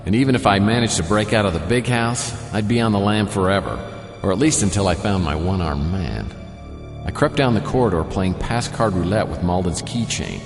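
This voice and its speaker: An actor playing a wisecracking, world-weary private detective in a noir radio drama, with a wry, deadpan delivery. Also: male voice, low-pitched, brisk. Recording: roomy reverb, music underneath.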